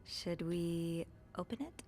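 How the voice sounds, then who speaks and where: An actress playing an inquisitive young hotel maid in a video game, thoughtful and gently playful. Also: female voice, on the low side, lively intonation, slow pace, a little hiss or hum. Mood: curious. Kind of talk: game voice acting.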